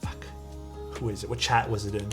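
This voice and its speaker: Chill toronto dude talking to chat while soldering, laid back, faint music in the back. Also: male voice, lowish voice, level tone, fairly quick. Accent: Toronto Canadian. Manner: easygoing, chatty, answers chat while soldering. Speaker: livestreamer building a keyboard. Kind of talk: casual livestream chat.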